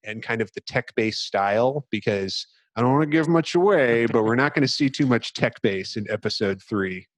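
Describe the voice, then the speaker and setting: A man's voice: mid-range voice, moderate pace, hardly any pauses, no echo. A man hosting a casual video game discussion, explaining things in a loose, rambling, hesitant way. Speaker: podcast host.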